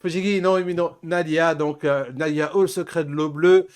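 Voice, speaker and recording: A man's voice: a little high, steady tone, normal speed, clean tone. A middle-aged man hosting a casual group chat, rambling and often backtracking on his words. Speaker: host of an anime talk show.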